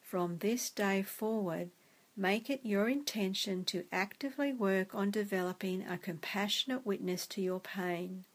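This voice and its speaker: A woman guiding a meditation in a soft, gentle, soothing way. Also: medium pitch, unhurried. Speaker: meditation guide. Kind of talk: guided meditation narration.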